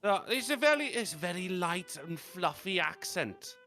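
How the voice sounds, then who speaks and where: A man's voice: high voice, big pitch swings, moderate pace, sharp tone, soft background music. A man co-hosting a livestream, chatting casually in a cheeky, teasing way and cutting in with short remarks. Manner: cheeky, interjecting. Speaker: co-host.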